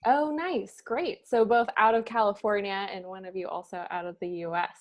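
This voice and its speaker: A woman's voice: medium pitch, normal speed. An upbeat woman hosts a podcast interview. She is friendly and laughs easily as she guides the conversation. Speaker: podcast host, career services assistant director.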